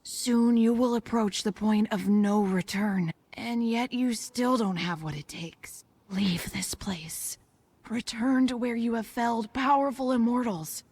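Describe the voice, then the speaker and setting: A woman playing a stern, mysterious guide in a video game, solemn and challenging, with a husky, breathy voice. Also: mid-range voice, a little mellow.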